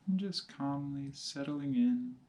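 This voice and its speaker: A man teaching meditation, giving calm, measured instructions in short phrases with pauses between them. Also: mid-range voice. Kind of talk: guided meditation, slow spoken instructions.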